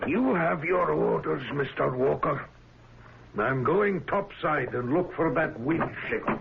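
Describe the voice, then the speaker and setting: Gruff, creaky old sea captain. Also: male voice, fairly high pitch, takes it slowly, exaggerated voice. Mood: commanding. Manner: gruff, commanding, curt. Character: stubborn, brooding old whaling ship captain. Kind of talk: old-time radio drama acting. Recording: noisy, tinny vintage radio recording.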